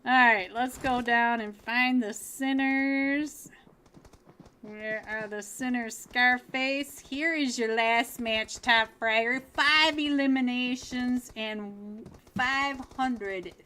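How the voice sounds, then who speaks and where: Slow, giggly woman casting a mobile esports match. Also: fairly high pitch, a little hiss or hum. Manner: chatty, giggly, gets excited at big plays. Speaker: esports caster. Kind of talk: solo live game commentary.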